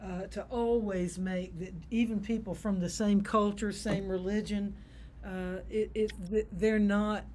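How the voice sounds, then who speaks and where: A woman's voice: mid-range voice, steady tone, unhurried, a little mellow. A woman informally moderating a group discussion on a video call. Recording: faint noise on video call.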